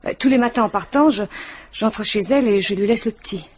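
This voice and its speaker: An actress playing a chatty, flustered widowed waitress in a French radio mystery, rambling, hesitant and deferential. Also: female voice, mid-range voice, fairly quick, breathy edge, natural voice.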